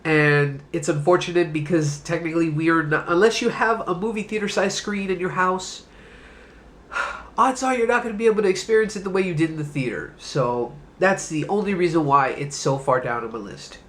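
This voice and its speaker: A man's voice: on the high side, moderate pace, fairly bright tone. Stuffed-up man with a cold, casual reviewer. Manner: loose, rambling, swears casually. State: congested, stuffed-up nose; coughing, sick. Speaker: movie review host. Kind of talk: casual solo video review.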